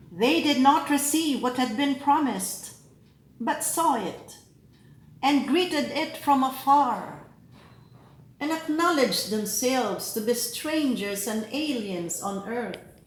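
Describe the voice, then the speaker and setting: A woman teaching scripture readers, reading a liturgical text slowly and deliberately, pausing at each phrase. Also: a little high, roomy sound, slight background noise, poor audio. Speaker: lector trainer. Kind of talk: slow, measured scripture reading and pronunciation drill.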